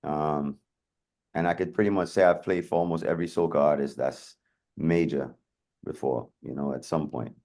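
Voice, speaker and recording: Casting note: low-voiced man from Atlanta as a DJ guest, chatty, filler-heavy storyteller. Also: steady tone, moderate pace, dark tone. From Atlanta, USA. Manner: chatty, lots of "you know" fillers. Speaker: guest DJ and producer. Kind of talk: casual interview storytelling.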